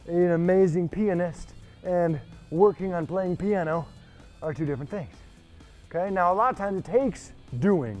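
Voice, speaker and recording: A male fitness coach with a fairly high voice talks through a workout over music. He is winded from exercising, yet keeps a conversational, emphatic, motivational delivery, often checking in with the listener. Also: darkish tone, dull audio. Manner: emphatic, conversational, frequent rhetorical check-ins. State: exerting, getting winded. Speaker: fitness trainer leading a workout. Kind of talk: motivational talk during exercise.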